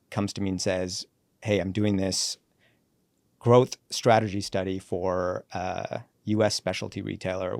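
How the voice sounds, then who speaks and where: A man in his forties, a company CEO born in Sri Lanka and raised in Canada, telling his career story in a relaxed podcast interview and acting out the dialogue he quotes. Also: deep voice, gravelly, no room sound. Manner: reflective, brisk storyteller who acts out quoted dialogue.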